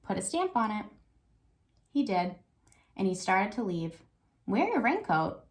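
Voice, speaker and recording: A woman's voice: medium pitch, big pitch swings, a little slow. Woman teacher reading aloud to children. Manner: thinks aloud with prompting questions and check-in tags. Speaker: elementary school teacher. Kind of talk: read-aloud reading lesson for young children.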